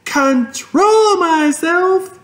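A man voicing an eight-year-old farm girl who pleads in a shrill, outraged voice. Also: big pitch swings, takes it slowly, full character voice. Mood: distraught.

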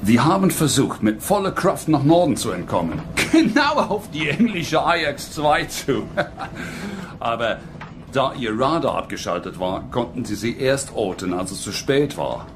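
A man playing a brisk investigator in a radio crime drama. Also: mid-range voice, sing-song, background noise. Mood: businesslike.

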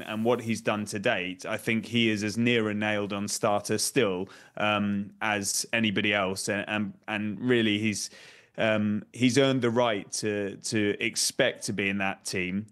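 A man's voice: on the low side, a bit bright, smooth. A man in his thirties, a football correspondent, speaking carefully with many ums over a video call at a desk mic. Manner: measured, hesitant with ums and repeated words. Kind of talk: remote studio discussion. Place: home room. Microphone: desk microphone on video call.

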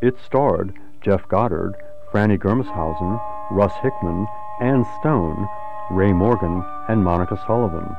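A man working as a radio theatre announcer, reading scripted credits in a narrow-band recording. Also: fairly low pitch.

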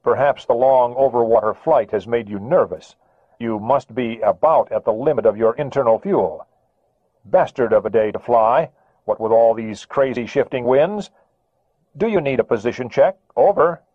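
A man's voice: mid-range voice, normal speed, dry recording. A male narrator voicing a smug American radar controller who speaks in a falsely polite, needling way over a radio. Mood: mock polite. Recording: tinny radio transmission.

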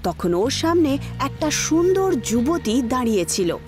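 Female horror-story narrator: dramatic scripted read, very animated intonation, clear music underneath. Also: high-pitched, a little slow.

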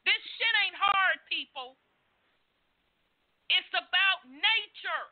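A woman's voice: very high voice, expressive, slow. A woman co-hosting a casual talk show, agreeing often and speaking passionately, with frequent profanity. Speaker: co-host. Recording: dull lo-fi call audio.

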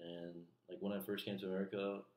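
A man's voice: deep voice, level tone, fast talker, darkish tone. A young man from Iran whose first language is Farsi, answering interview questions in a hesitant, casual way with many fillers, recorded on a laptop in a small bedroom. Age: young adult. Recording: echoey room.

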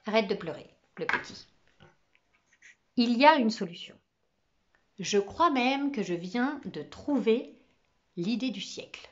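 An excitable schoolboy, put on by a woman reading a storybook, pushes his words out urgently and loudly. The voice sits high and bright, and the recording sounds slightly muffled. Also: takes it slowly, long pauses. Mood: commanding. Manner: urgent, pleading, loud. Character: excitable schoolboy, bespectacled class ringleader.

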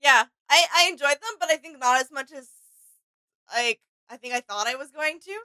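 A young woman gives her casual take as a co-host on a reaction video. Her voice sits high and sounds bright. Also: moderate pace, no echo, rough recording. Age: young adult. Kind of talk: casual chat. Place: small indoor home studio room.

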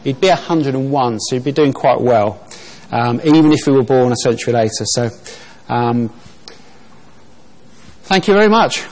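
A man's voice: mid-range voice, lively intonation, moderate pace, long pauses, a little hiss or hum. A man presenting at a lectern on a conference stage, explaining in a plain, friendly way. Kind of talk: conference talk.

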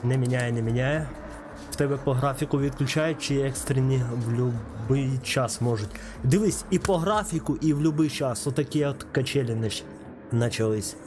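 A man a mid-range voice talks casually to his chat while racing, greeting viewers by name and swearing now and then. Game music plays clearly behind him. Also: medium pitch, normal speed. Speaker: streamer. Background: game music and engine sounds.

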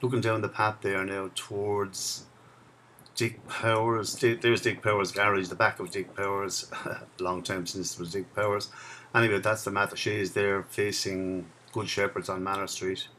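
A man in his sixties talks his way along a riverside path, recalling old places in a relaxed, chatty way. His voice sits fairly low. He records on a camera mic outdoors, with a faint hum of town ambience behind him. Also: moderate pace. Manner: chatty, reminiscing as he walks. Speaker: video blogger. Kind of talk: casual walking commentary.

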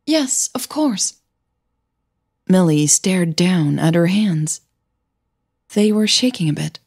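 Slow, low-voiced woman narrating fiction. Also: darkish tone, slightly rough. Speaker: podcast host and narrator. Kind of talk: scripted audio fiction narration.

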